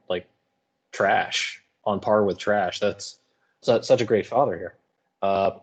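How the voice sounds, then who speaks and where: Low-voiced man giving informal lecture commentary. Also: slightly rough. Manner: colloquial, wry paraphrasing. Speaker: English teacher.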